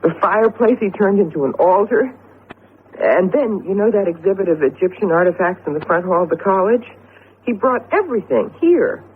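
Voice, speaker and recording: An actress playing an expressive, fretful wife in a radio drama, talking in an emphatic, emotive way. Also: female voice, mid-range voice, normal speed, natural voice. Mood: worried. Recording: lo-fi radio recording, faint noise.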